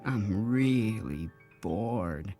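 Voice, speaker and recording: A man's voice: fairly low pitch, lively intonation, very slow, mellow, soft background music, exaggerated voice. A man voicing a bored, unimpressed young boy in a storybook, with a grumbling, offhand delivery.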